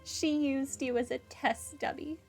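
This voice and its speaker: High-voiced woman commentating on a game. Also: fairly even intonation, measured pace, creaky. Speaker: gaming video host. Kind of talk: casual playthrough commentary, reacting and reading along. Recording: faint game music behind.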